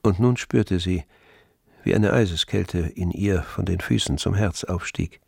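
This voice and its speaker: Gravelly low male literary narrator. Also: no echo. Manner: measured, sober storytelling. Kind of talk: literary radio play narration. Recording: close dry studio, music underneath.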